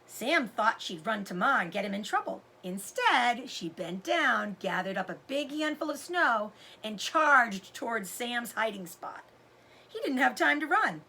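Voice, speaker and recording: Bright, lively woman reading a children's story. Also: a little high, a little slow. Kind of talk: expressive read-aloud narration of a children's novel.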